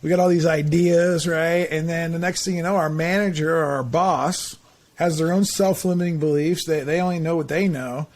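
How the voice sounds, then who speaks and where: Forties dude hosting a podcast, slightly high voice, super chatty, says right and like a lot. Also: male voice, steady tone, normal speed, a little mellow. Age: 40s. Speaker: podcast host. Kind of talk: casual interview chat.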